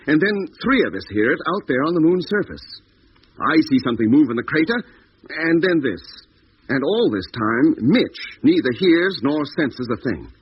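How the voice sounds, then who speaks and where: An actor playing a thoughtful, methodical ship's doctor in a 1950s radio space drama, speaking in a serious, precise way. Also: male voice, a little high, very animated, moderate pace. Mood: troubled. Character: ship's doctor, thoughtful, methodical male moon explorer and chronicler. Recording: dull, hissy 1950s radio recording.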